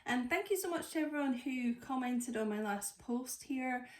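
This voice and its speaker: A woman's voice: high voice, lively intonation, normal speed. A woman with a stuffy nose from a cold, chatting casually through a tarot reading with lots of fillers. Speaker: tarot reader. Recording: echoey room.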